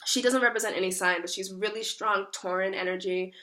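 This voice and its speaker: A young woman with a hoarse voice from a cold gives a chatty, rambling tarot reading full of fillers. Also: medium pitch, fairly even intonation, fairly quick, pushed and bright. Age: young adult. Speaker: tarot reader. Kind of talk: casual monthly tarot reading.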